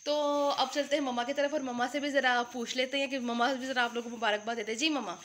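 High-voiced chatty woman host. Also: fairly even intonation, quick pace, a bit bright. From Pakistan. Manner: chatty, talks straight to viewers, many fillers. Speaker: channel host. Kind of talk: casual vlog chat.

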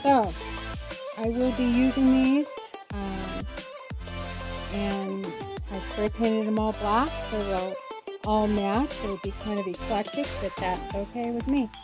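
A middle-aged woman vlogger casually walking through a home DIY project in a matter-of-fact, unhurried way. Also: medium pitch. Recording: roomy echo with music.

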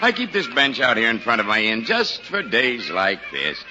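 An actor playing a superstitious, fearful village innkeeper, folksy in manner, in 1940s radio style. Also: male voice, medium pitch, wildly swooping pitch, normal speed, background noise. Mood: affable. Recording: muffled 1940s radio, long reverberation.

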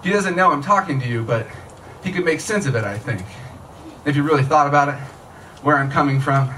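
Guy in his 30s reading a short story live, bright slightly high voice, kinda far from the mic. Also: a little fast. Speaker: author reading own work. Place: small tent stage at night, outdoors. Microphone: stand microphone, recorded by camera from audience. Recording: distant mic, noisy live outdoor event.

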